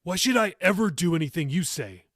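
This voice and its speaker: An angry teenage boy from an audiobook, acted out by a man. He is impatient and demanding, pushing loudly in a raised pitch, with a very dry, close sound. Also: big pitch swings, a little fast, no echo, full character voice. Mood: bitter, accusing. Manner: loud, demanding, interrupting. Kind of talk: audiobook character dialogue.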